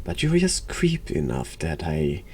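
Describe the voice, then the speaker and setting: A man with a gravelly voice giving casual, sarcastic, deadpan commentary on a horror game. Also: extremely low pitch, a little slow, a little hiss or hum. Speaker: streamer playing a horror game. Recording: music behind the voice.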